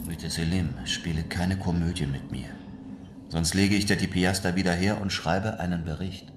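An actor playing a shrewd, composed European traveller in a radio drama, speaking in a measured, assured way. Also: male voice, low-pitched, steady tone, reverberant, noisy background, close to an everyday voice. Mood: firm, cool. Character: confident, shrewd European traveller, narrating his adventures. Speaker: narrator and lead actor. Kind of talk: radio drama acting and narration.

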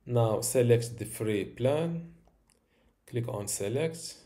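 A man in his thirties walking through a software tutorial step by step, with occasional fillers, recorded at a home desk. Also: mid-range voice, expressive. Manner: slow, step-by-step instructions with fillers. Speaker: tutorial host.

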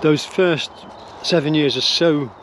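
A man's voice: on the high side, takes it slowly, fairly bright tone, slight background noise. An older man talking while walking his dogs through woodland, musing and hesitant, with many fillers and restarts, recorded close on a handheld camera. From England. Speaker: vlogger. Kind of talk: rambling walk-and-talk opinion vlog. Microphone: phone or camera held close at arm's length.

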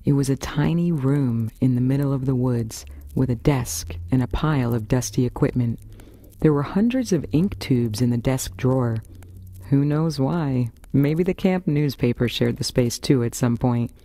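American woman, radio host, scripted storytelling, soft dark tone, very dry recording. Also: a bass voice, moderate pace, no room sound. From Florida, United States. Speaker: variety radio show host.

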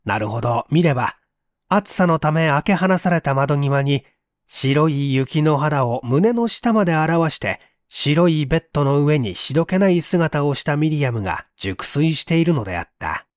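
A man reading a literary mystery story aloud in an expressive, theatrical storytelling manner. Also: fairly high pitch, normal speed, dry recording, clean studio sound. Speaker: reader of audio stories.

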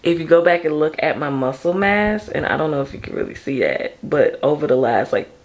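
An American woman in her thirties with a deep early-morning voice, chatting candidly and informally to camera. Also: animated, fairly quick. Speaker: vlogger. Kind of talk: casual chatty vlog to camera. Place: home kitchen and upstairs rooms, indoors.

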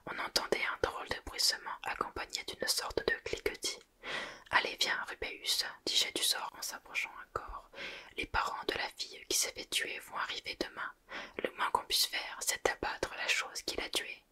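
A speaker reading a novel aloud in a soft, unvoiced whisper and then reviewing it, close to the microphone. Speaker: book reader and reviewer.

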